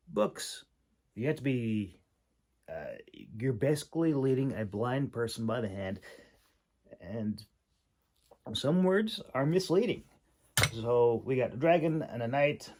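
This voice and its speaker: A man giving a casual, unscripted critique as a host, halting and thinking aloud with long pauses. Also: medium pitch, darkish tone. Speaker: host critiquing a writer's manuscript.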